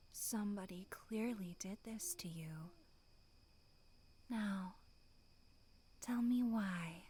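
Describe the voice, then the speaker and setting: A woman voicing a sinister, playful demonic monster in dark ASMR, breathy, half-whispered, purring and teasing. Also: mid-range voice, a little hiss or hum. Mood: coaxing. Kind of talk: dark ASMR horror roleplay.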